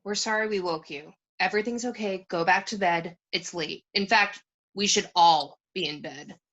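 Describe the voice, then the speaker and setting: A woman's voice: on the low side, moderate pace, a bit bright, lightly acted. A woman playing a firm, soothing mother in an amateur script read, reassuring, on muffled lo-fi audio.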